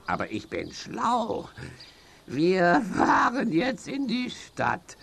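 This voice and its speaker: Creaky, high-pitched grumpy goblin villain. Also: male voice, huge pitch swings, a bit bright, exaggerated voice. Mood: gleefully scheming. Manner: exclaiming, sneering, drawn-out emphasis. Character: grumpy scheming cartoon villain, vain, bossy little male goblin. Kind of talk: children's audio drama acting.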